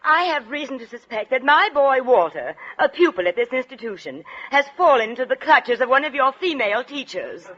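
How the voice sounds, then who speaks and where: A stern, formal matron, accusatory and indignant, with a high, animated, bright voice on a muffled 1940s radio show. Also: female voice, a little fast, few pauses, full character voice. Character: stern, indignant school board matron, a suspicious mother. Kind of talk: 1940s radio comedy acting.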